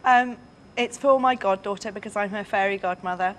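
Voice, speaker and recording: A woman's voice: medium pitch, a little fast, a bit bright. A Welsh woman in her twenties, a quiz contestant, chatty and flustered under pressure. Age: 20s. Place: TV studio.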